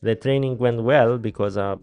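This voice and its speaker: A man's voice: mid-range voice, moderate pace, darkish tone, smooth, faint music behind. A man in his thirties with a Greek accent, hosting a casual podcast interview in a small studio, chatty and laughing often. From Greece. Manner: chatty, laughs often, non-native grammar. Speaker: podcast host. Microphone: studio mic on boom arm, headphones.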